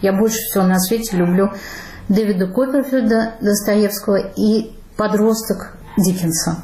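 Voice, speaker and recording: A middle-aged woman novelist answering interview questions in a thoughtful, measured way. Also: medium pitch. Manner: thoughtful, measured, with soft laughs. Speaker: novelist guest. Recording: roomy studio sound.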